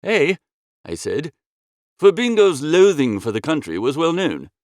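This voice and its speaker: Guy reading a comic audiobook, very lively swings in pitch, breezy and theatrical, dry close sound. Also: normal speed, no room sound. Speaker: audiobook narrator. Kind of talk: expressive first-person comic story narration.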